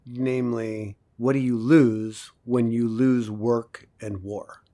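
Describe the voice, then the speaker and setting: A man performs a cynical professor from a dystopian audio drama. He lectures in a medium-pitched voice, measured and didactic, pausing for rhetorical effect. His manner is coolly persuasive. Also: little pitch movement, dark tone, lightly acted. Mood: teasing, didactic.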